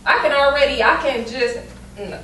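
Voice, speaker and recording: A quiet, soft-spoken woman speaking calmly in a casual group discussion. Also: high voice, moderate pace, over a noisy crowd. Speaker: panelist in a women's discussion circle. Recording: echoey room picked up from a distance, faint background hum.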